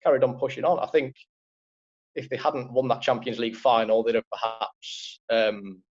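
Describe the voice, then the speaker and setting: A man's voice: medium pitch, normal speed. Liverpool-accented man, dull lo-fi audio. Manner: rambling, many fillers and restarts. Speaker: football writer, co-host. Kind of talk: casual football podcast chat.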